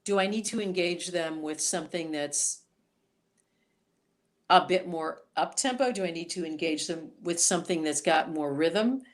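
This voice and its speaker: A woman singer-songwriter being interviewed on a podcast, talking in an animated, chatty way. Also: lowish voice, moderate pace. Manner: animated, chatty storyteller, voices quoted reactions.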